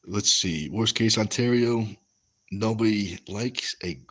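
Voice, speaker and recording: Low-voiced rambling American man. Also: slow pace. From West Coast of the US. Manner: rambling, many fillers and restarts. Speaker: livestream host reading chat. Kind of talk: casual livestream chat.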